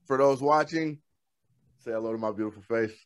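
A man's voice: mid-range voice, a little fast, clean tone, no room sound, clean studio sound. Laid-back man hosting a podcast. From Oklahoma. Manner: laid-back, slangy, upbeat. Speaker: podcast host. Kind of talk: casual interview chat. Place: home studio room with shelves. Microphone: studio microphone on a boom arm.

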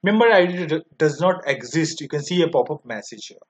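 A man in his twenties with an Indian accent, narrating a step-by-step software tutorial in a halting, repetitive way. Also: a little high, lively intonation. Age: 20s. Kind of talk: step-by-step screen demo narration. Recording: dull lo-fi microphone sound.

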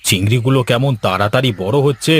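Casting: male performer as a cartoon farm owner, mid-pitched, chatty and inquisitive with his hired hand. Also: fast talker, lightly acted. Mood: curious, pondering. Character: village shrimp-farm boss, inquisitive with his servant. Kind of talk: cartoon dialogue acting.

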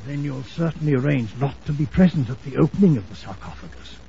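An actor playing a fussy, excitable old gentleman scholar in a radio drama, stammering pompously and repeating himself. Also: mid-range voice, fast talker, gravelly. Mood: grave warning. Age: older. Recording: muffled, hissy old radio recording.

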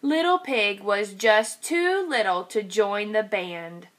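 A woman reading a storybook aloud as a teacher, speaking deliberately to young children. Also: mid-range voice, fairly bright tone. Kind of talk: storybook read-aloud narration.

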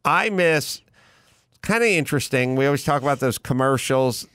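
An American man in his fifties hosts a podcast in comic, rambling rants. His pitch swings in a lively way as he acts out quotes, and the close studio mic keeps the sound very dry. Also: normal speed, smooth, no room sound. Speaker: podcast host. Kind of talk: comedic rambling talk show banter. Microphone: close studio mic with headphones.

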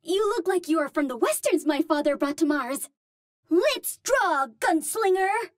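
Juno, girlish high-pitched Martian heroine. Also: animated, measured pace, dry recording, studio quality. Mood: curious, earnest. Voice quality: girlish, light. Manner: precise, formal wording without slang, earnest. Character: Juno, cute young Martian space heroine, earnest and eager. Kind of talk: video game hero voice lines.

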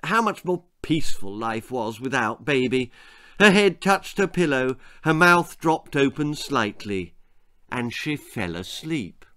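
Animated older man reading a story. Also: mid-range voice, unhurried. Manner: theatrical, emphatic. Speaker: author reading his own stories. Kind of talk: expressive scripted children's story narration. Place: small indoor room lined with books.

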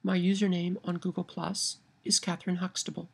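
A woman's voice: fairly low pitch, flat delivery, fairly quick, gravelly, darkish tone, husky. A woman from Kansas with a Midwestern accent and a nasal twang, reading a word list and a novel passage aloud to a webcam at home. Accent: Midwestern American. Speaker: vlogger. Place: home room by window blinds, indoors. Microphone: webcam at arm's length.

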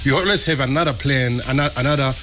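A man's voice: mid-range voice, quick pace. A man in his early forties co-hosting a radio show from a studio kitchen, jokey, mixing English and Zulu. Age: 40s. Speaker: radio co-host. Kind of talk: casual radio chat. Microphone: studio mic with headphones. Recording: kitchen frying noise behind.

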